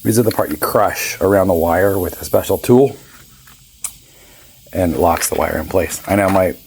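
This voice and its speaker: Man in his 50s, raspy hoarse voice, lowish pitch, chatty rambling, faint hiss behind. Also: normal speed, darkish tone, poor audio. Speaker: boat owner vlogger. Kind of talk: casual vlog narration.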